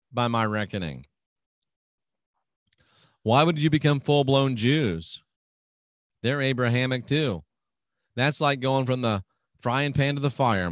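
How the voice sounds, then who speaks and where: A man's voice: medium pitch, fairly bright tone, no room sound, clean studio sound. A man hosting his own radio show, chatting casually and reading out listener comments in a folksy, agreeable way. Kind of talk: casual talk reading out live chat comments.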